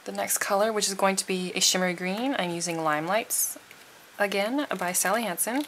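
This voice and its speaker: A woman's voice: mid-range voice, brisk, fairly bright tone, a little hiss or hum, rough recording. A woman giving a casual, chatty tutorial voice-over, sounding a little tired and froggy. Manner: informal, chatty. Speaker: nail art tutorial host.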